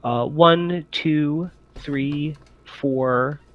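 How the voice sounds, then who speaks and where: A man's voice: a little high, drawn-out words, no room sound, dull audio. Hesitant man running a game. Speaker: game master. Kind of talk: casual tabletop game talk.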